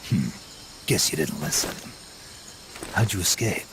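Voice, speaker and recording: An actor playing a gruff, battle-worn soldier in a video game cutscene, calm and laconic, with a gravelly rasp. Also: male voice, a little slow, noisy background, exaggerated voice. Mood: gruff, curious. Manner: laconic, low-key. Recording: loud music under the voice. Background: loud music.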